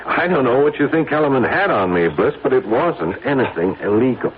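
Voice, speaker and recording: A man's voice: medium pitch, sing-song, fairly quick, natural voice. An actor playing an anxious, brooding businessman in a radio drama, speaking earnestly and hesitantly about his uneasy conscience. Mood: challenging, uneasy. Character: guilt-ridden, anxious businessman confessing a troubled conscience. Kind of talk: old-time radio drama acting. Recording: narrow, tinny radio recording, faint noise.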